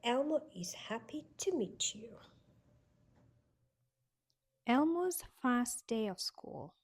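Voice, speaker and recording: A woman reading a children's storybook aloud with expressive, sing-song delivery aimed at young children. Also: a little high, takes it slowly, husky. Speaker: storybook reader.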